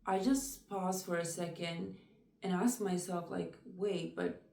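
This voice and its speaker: A young woman talking to camera about personal struggles in a soft, reflective way, with many "like" fillers. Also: on the low side, steady tone, slow, creaky, reverberant, recorded from a distance. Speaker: vlogger.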